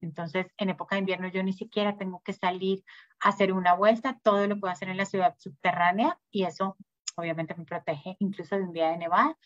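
A woman's voice: mid-range voice, steady tone, fast, dry recording, lo-fi sound. A woman presenting study abroad programs in an upbeat, informative sales talk. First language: Spanish. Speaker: school sales manager presenting. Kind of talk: webinar presentation.

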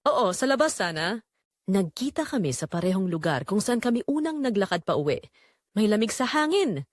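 A woman's voice: medium pitch, very animated, brisk, darkish tone, dry recording, studio quality. A woman narrating a scripted first-person drama in an earnest, emotive way.

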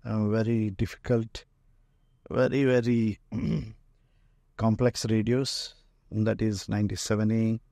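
A man with an Indian accent giving an informal guided tour as a museum owner, speaking haltingly with frequent pauses. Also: lowish voice, steady tone, vocal fry, dark tone, no echo, studio quality. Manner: halting, pausing often mid-phrase. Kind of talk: informal narrated walk-through tour. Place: indoor museum and workshop room full of shelves.

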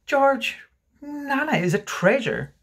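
Man putting on a high, gentle storybook mother. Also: very animated, slow pace, heavily acted. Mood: gently pleading. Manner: soft, tender, sentimental. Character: gentle, placid mother, sweet and wistful. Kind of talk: storybook character voice.

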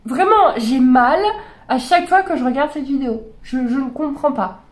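A woman in her forties, slightly hoarse from a cold, giving exasperated, incredulous reaction commentary to videos from her bedroom desk, with many fillers and restarts. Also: high-pitched, moderate pace, a little hiss or hum. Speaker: horse channel host reacting to videos. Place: small bedroom with gaming chair.

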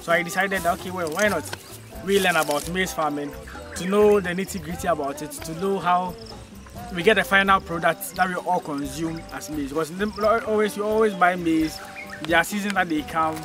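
A young man with a Ghanaian accent hosting a farming channel, chatting eagerly with viewers and a guest out in a farm field. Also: on the high side. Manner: upbeat, chatty, pleads with viewers. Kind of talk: casual outdoor vlog interview. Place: open farm field outdoors. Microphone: handheld phone.

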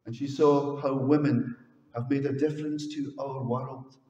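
An older man, a minister from Scotland, preaching a slow, solemn sermon with measured pauses into a headset mic in a large stone church. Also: mid-range voice, steady tone, warm tone, far from the mic, muffled recording. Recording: echoey church hall from afar.

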